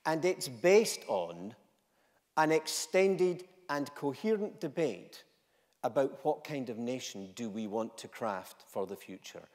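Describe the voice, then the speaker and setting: A middle-aged man with a Scottish accent giving a passionate conference speech from a stage, driving his points home by repeating them and swinging between loud and quiet. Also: mid-range voice, big pitch swings, takes it slowly. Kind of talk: impassioned conference keynote speech. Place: large conference hall stage. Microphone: stage microphone, walking freely. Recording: long hall reverb.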